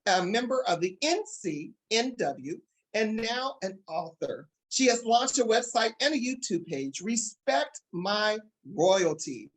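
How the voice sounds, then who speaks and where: An upbeat man hosting a talk show. He speaks with excited emphasis and addresses his listeners directly, at a middling pitch. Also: slow, poor audio.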